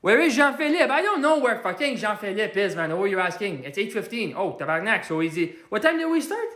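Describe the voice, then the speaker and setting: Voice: medium pitch, sing-song, brisk, roomy sound. A performer playing a hot-headed working-class man from Quebec who is angry all day, ranting in broken English mixed with French, with a strong Québécois French accent, on a comedy livestream. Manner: loud ranting, self-styled Quebec Hulk. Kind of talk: ranting comedy livestream, answering viewer comments.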